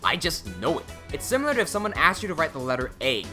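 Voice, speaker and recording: A man's voice: on the high side, sing-song, fast talker, over music. A young man whose first languages are English and Cantonese, talking candidly and self-mockingly in a casual vlog, recorded in a small room. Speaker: language-learning YouTuber.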